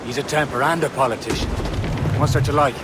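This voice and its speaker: A man plays a loyal, level-headed ship's first mate in a game drama. His voice is mid-pitched, earnest and reasoning. There is clear wind and water noise behind him. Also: steady tone, fast talker, natural voice. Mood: admonishing.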